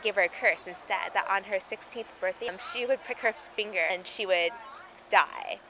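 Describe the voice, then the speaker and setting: A woman's voice: mid-range voice, normal speed. A woman interviewed on the street, talking casually as she recalls things. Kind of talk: casual recollection and chat. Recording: faint outdoor ambient noise.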